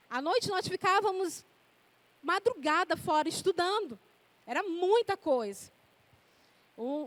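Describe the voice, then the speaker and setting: A woman's voice: very high-pitched, dry recording. A woman in her forties preaching a sermon, reading scripture and then telling personal stories in a conversational way. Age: 40s.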